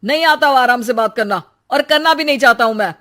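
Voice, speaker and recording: A woman voicing a short-tempered young husband in a cartoon story, given to loud, exasperated outbursts. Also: high-pitched, fast, voice pushed up. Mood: irritated.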